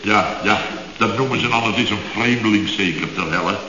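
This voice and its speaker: Radio comedy boss: excitable contractor, bright forceful voice, blustering and indignant, on a hissy echoey old recording. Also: male voice, lowish voice, brisk, dull audio. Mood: grumbling, appalled. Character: flustered, excitable male building contractor boss recounting his mishaps. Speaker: construction firm boss. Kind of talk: radio comedy serial acting.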